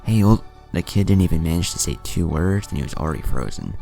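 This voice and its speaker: A man's voice: extremely low pitch, very animated, fast, vocal fry, warm tone, faint music behind. A man reading a scripted fan fiction story aloud, narration and dialogue alike.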